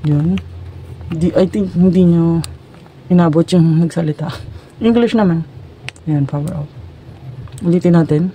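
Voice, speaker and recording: A woman's voice: lowish voice, measured pace, long pauses, mellow, slight background noise, rough recording. A Filipino woman chatting informally to her viewers in a casual unboxing and product demo vlog. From Philippines. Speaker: vlogger.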